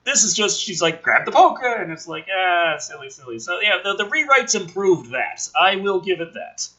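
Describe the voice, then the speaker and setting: A man reading a film script aloud and adding casual, sardonic, profane commentary in an animated way. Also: high-pitched, moderate pace, dull audio.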